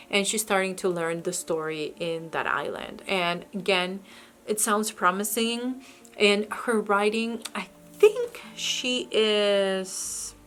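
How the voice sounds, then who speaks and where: A woman talks through her new books in a casual, chatty way. English is her second language, and she often restarts her sentences and hesitates. Her voice sits in a middle pitch range. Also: fairly bright tone. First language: not English. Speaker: book vlogger. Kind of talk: casual book haul chat.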